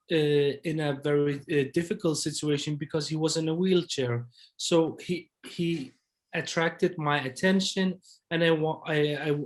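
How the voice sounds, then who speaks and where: A man in his thirties, from Iraq, a documentary director answering panel questions in a hesitant way, with fillers and restarts. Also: fairly high pitch.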